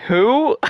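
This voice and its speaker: A man livestreaming a game, chatting casually with viewers and thinking aloud in a relaxed way. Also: very high voice, no room sound, muffled recording. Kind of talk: casual live gameplay chat with viewers. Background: video game music.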